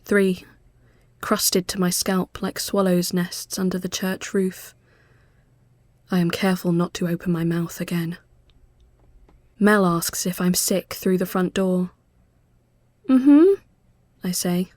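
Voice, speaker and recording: A woman giving a literary first-person narration, measured, hushed and sombre. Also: lowish voice, level tone, dry recording. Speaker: storyteller.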